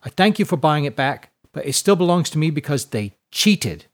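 A man's voice: on the high side, big pitch swings, moderate pace, pitched above the performer's own voice. A man voicing an argumentative, aggrieved village fisherman in an audiobook, insistent and complaining.

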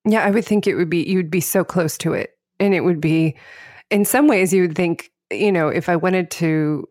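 A woman's voice: lowish voice, normal speed, darkish tone, no echo, studio quality. A woman hosting a podcast interview in a conversational, musing and encouraging way.

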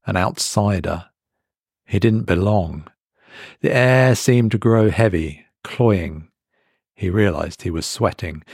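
A man reading a scripted horror story as narrator, with a measured, dramatic delivery in short weighted phrases. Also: on the low side, a little mellow, no room sound, clean studio sound. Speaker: story reader.

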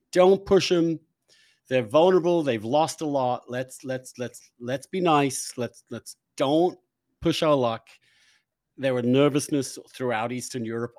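A man hosting an informal interview, thinking aloud haltingly with repeated phrases and casual swearing. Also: mid-range voice, a little mellow, no room sound, clean studio sound. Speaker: interview host. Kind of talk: informal, opinionated interview conversation.

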